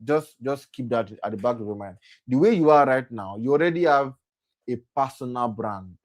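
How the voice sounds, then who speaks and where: A man's voice: medium pitch, animated, moderate pace. A young man hosting a motivational session for young people. He often repeats himself, corrects himself as he goes, and keeps checking in with "right?". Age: young adult. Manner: repetitive, self-correcting, often asks "right?". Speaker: program host and speaker.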